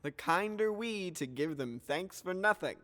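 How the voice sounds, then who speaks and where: A man playing a grand, genial yet skeptical duke of Athens, declaiming in a measured, theatrical Shakespearean style. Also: high-pitched, animated, bright, forceful tone, full character voice. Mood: gracious. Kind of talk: theatrical Shakespearean audio drama acting. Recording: long reverberant hall echo.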